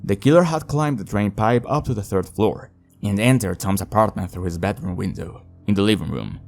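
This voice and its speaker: A man's voice: lowish voice, expressive, a little fast, gravelly, darkish tone, faint music behind. A man hosting a horror video review, moving between scripted narration and casual commentary. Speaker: host of a video reviewing an analog horror series.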